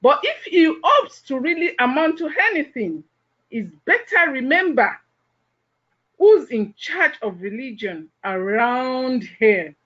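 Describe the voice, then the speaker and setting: A woman's voice: high voice, very animated, slow pace, fairly bright tone, dull audio, full character voice. A woman playing a haughty, judgmental gossip in a biblical audio play, condescending and full of disapproval. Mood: self-important.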